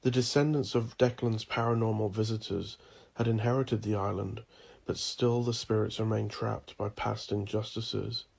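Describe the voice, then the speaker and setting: A man narrating a dark fantasy audio drama, with a slow, ominous delivery and a gravelly voice. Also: on the low side, fairly even intonation.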